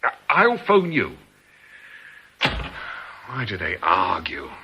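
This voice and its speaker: An actor playing a brisk, bossy gang leader in a radio drama, firm and insistent. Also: male voice, sits low, huge pitch swings, highs cut off, full character voice. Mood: firm, managing. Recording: slightly noisy radio drama recording.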